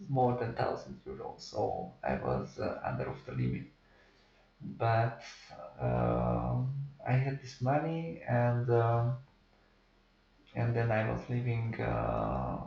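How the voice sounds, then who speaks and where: Gravelly, halting man. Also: medium pitch, a little mellow. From Hungary. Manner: hesitant, many pauses and restarts. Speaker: artist interviewee. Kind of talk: halting personal account. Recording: roomy, dull-sounding recording.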